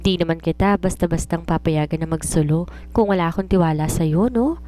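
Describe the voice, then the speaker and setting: A woman's voice: on the low side, fairly quick, mellow, close to an everyday voice. A woman voicing a doting, nagging widowed mother and businesswoman, mixing Tagalog and English in an affectionate scolding way. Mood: fond, fussing. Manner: Taglish, scolding yet affectionate.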